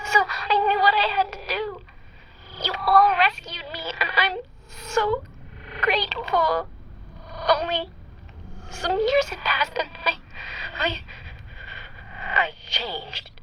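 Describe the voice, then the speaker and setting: Haunted, slow, bright-voiced machine intelligence. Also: female voice, very high-pitched, slight background noise, heavily acted, poor audio. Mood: tearful. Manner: halting, repetitive, drifting into whispers. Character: traumatized female machine intelligence, once a stolen child soldier. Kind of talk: radio drama acting.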